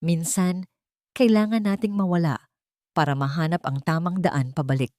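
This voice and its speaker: A woman voicing a gentle, wise middle-aged father who speaks calmly and clearly. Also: fairly low pitch, normal speed, dry recording, clean studio sound, lightly acted. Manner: calm and clear.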